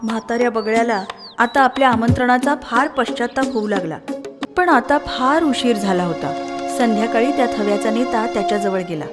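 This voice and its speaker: A woman's voice: on the high side, normal speed. A woman narrating a scripted children's story as the narrator. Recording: loud music under the voice.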